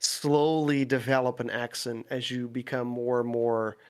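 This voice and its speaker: A man's voice: medium pitch, level tone, measured pace, a little mellow, clear, resonant tone. Young Dutch man with American-tinged English. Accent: American-sounding Dutch. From Netherlands. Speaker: podcast guest, gaming content creator. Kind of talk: casual chat.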